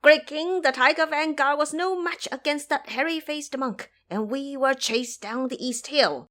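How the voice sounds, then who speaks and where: A woman's voice: sits high, measured pace, fairly bright tone, pitched above the performer's own voice. A woman voicing an alarmed, servile monster imp in an audio drama, reporting urgently and deferentially.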